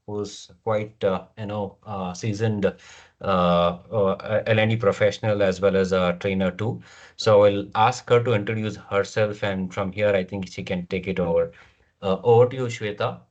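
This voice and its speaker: Hesitant Indian man hosting a webinar. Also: fairly low pitch. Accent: Indian English. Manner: hesitant, many fillers. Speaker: webinar host. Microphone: computer mic on video call. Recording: dull lo-fi video call audio.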